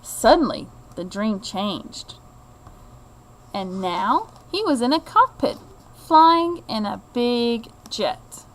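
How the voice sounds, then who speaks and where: A woman reads a children's storybook aloud. Her voice sits a little high and she takes her time, very slow and deliberate. The delivery is expressive, in the manner of a teacher reading to a child. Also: slight background noise, rough recording.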